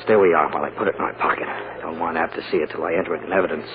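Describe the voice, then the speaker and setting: An actor playing a gentle, shrewd defense lawyer in old-time radio style, easygoing and conversational. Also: male voice, deep voice, steady tone, very fast, crowd noise, lightly acted. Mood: hushed, shrewd. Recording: dull vintage radio recording.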